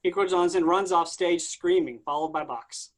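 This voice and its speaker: Higher-voiced man reading stage directions. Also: normal speed. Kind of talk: scripted narration at a staged play reading.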